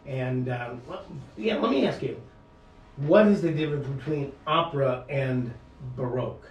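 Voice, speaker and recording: A man's voice: medium pitch, echoey room, distant mic, rough recording. Man hosting a talk radio show. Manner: halting, many fillers and restarts. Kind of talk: radio interview.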